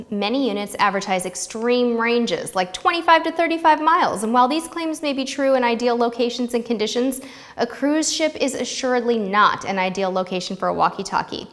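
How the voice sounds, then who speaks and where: American woman hosting a scripted tips video, playful, mid pitch, kinda reverby indoor sound. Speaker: host of a travel tips video series. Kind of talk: scripted presenting to camera. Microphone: lapel mic.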